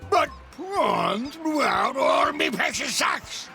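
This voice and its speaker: Gravelly, blustering ghost pirate captain. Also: male voice, very high-pitched, big pitch swings, slow, music in the background, full character voice. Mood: indignant, aggrieved. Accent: stage pirate. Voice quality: gravelly, shouty. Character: blustering, self-pitying old male ghost pirate captain. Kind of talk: animated game cutscene acting.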